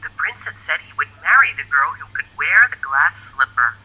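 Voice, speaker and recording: A woman narrating a children's fairy tale in an expressive, deliberate storybook manner. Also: low voice, husky, dull audio.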